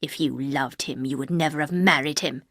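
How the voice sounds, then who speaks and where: A woman voicing a bitter, grieving older housekeeper who speaks in a harsh, cold, slow and accusing way. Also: low voice, dry recording, clean studio sound, voice pushed down.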